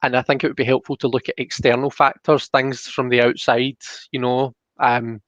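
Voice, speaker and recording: A man's voice: medium pitch, level tone, normal speed, a bit bright, no room sound, highs cut off. A man hosting a casual livestreamed tarot reading, explaining things in an informal, hesitant, chatty way. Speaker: host.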